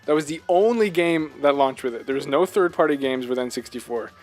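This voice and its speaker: Higher-voiced man in earnest gaming chat. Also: fairly quick, soft background music. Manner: earnest, emphatic fan talk with rhetorical questions. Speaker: podcast co-host. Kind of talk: casual video game discussion.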